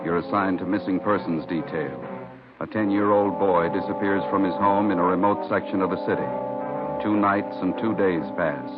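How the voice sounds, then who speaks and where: A deep-voiced American man announces in a terse, solemn, dramatic style from old radio crime shows. Loud music plays behind him and the sound is muffled and narrow. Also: steady tone, moderate pace. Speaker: opening announcer.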